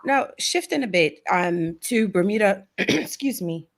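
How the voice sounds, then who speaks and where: A woman hosting a talk show, asking direct, brisk questions while slightly losing her voice. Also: mid-range voice, lively intonation, gravelly. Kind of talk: interviewing a guest.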